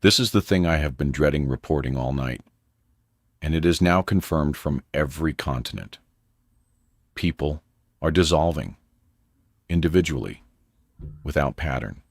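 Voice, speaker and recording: Grave radio anchorman, really low voice, big swings in pitch, solemn and deliberate. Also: expressive, no echo, studio quality, heavily acted. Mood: grave dread. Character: grave male radio news anchor holding steady through apocalypse. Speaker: news anchor. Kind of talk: dramatized breaking news broadcast.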